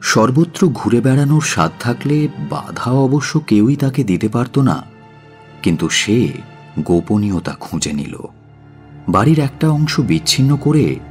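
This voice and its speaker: A man narrating a dramatic audio story in a measured, solemn and expressive way. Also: lowish voice. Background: faint music.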